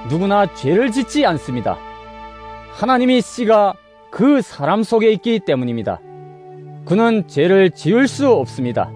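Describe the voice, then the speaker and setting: A man reading scripture as a dramatized narration, in a solemn, deliberate, exhorting manner over background music. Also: high-pitched, darkish tone. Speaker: Bible narrator.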